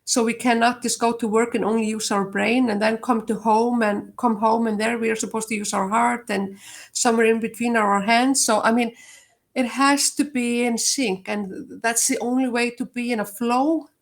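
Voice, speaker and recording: An older woman with an Icelandic accent speaks in a fairly high voice. She talks reflectively and casually, with many small pauses and fillers. She laughs lightly between her thoughts. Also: steady tone. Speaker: guest, HR leader. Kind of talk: casual interview answer.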